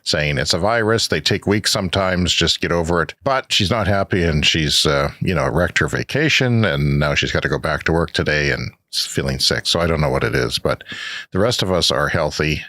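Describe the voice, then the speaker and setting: A man's voice: a bass voice, lively intonation, fairly quick, sharp tone, no echo, studio quality. A middle-aged man from Canada, co-hosting a podcast in casual chat, talkative and sarcastic. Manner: chatty, sarcastic asides. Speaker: podcast co-host.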